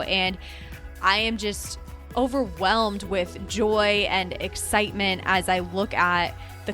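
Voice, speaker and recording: A woman's voice: medium pitch, a bit bright. A woman hosting a solo podcast in a casual, chatty and emphatic way, speaking informally as a business coach.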